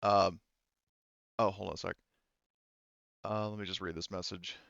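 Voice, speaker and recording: A man running a tabletop game session as game master, narrating informally and haltingly with frequent self-corrections. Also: sits low, fairly even intonation, creaky, a bit bright, dry recording, highs cut off.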